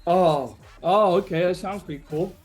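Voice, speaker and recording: A man being interviewed as a musician, chatting casually and reflectively, often halting as he searches for words. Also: sits high, darkish tone, faint music behind.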